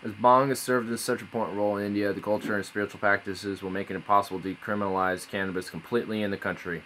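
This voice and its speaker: A man's voice: on the low side, fairly even intonation, racing, sharp tone, a little hiss or hum, poor audio. A man streaming casually, rambling and swearing as he reads aloud. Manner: rambling, laid-back, swears often. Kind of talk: casual live-stream chat. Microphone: phone.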